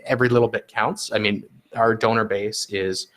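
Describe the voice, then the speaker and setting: A man with a fairly low voice speaks as a guest about his food bank. He explains things earnestly, stumbling over repeated words and fillers as he goes. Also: steady tone, moderate pace, fairly bright tone. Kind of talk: interview answers.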